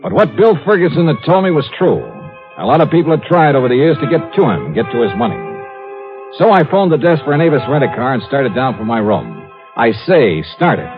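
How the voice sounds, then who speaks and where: An actor playing a brisk, hardboiled private investigator who wryly narrates his case in 1950s radio style. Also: fairly high pitch. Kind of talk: 1950s radio acting and first-person narration. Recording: narrowband old radio drama with music.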